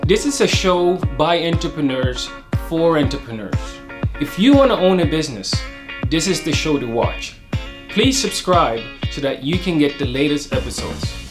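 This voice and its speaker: A man's voice: fairly high pitch, lively intonation, moderate pace. A man hosting an interview show, friendly and hesitant with frequent fillers. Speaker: show host. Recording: distant mic in an echoey room with faint music.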